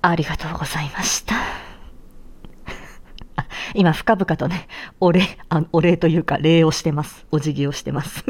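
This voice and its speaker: Low-pitched woman host, casual livestream chat. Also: fairly even intonation, measured pace, long pauses, whispered. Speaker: solo audio livestream host and amateur singer.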